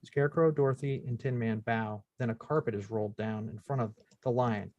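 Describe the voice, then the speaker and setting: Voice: medium pitch, fairly quick, a little mellow, no room sound, muffled recording. A narrator reading out screenplay stage directions as scripted narration at a table read.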